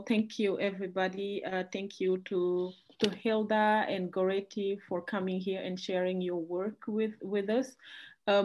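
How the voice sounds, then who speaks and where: A woman's voice: medium pitch, a little mellow. Young woman asking a polite question. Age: young adult. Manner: polite, halting, restarts her phrasing. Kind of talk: audience question at a panel. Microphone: laptop camera on a video call.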